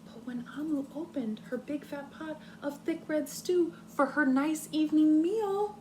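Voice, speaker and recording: A woman teacher reading a story aloud to young children in an expressive, deliberate way, pausing to put questions to them. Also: high voice, a little hiss or hum.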